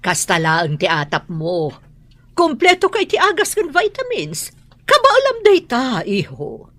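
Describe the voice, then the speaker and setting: A woman's voice: high-pitched, huge pitch swings, unhurried, a bit bright, exaggerated voice. An actress playing a proud, insistent, middle-aged mother in an Ilocano radio drama, speaking in a domineering and emphatic way.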